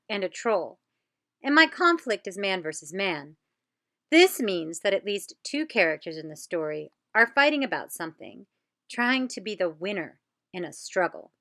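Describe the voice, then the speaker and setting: A woman teacher presenting a step-by-step lesson for children, with deliberate, encouraging delivery. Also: medium pitch, bright, forceful tone. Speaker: teacher presenting an educational show.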